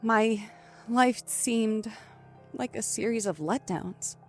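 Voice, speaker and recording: Woman playing scared yet defiant captive, suspenseful thriller audio drama, slow pace, soft music behind. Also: a little high. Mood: weary, reflective. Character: frightened but defiant trapped woman narrating her ordeal. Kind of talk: first-person thriller audio drama narration. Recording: music under the voice.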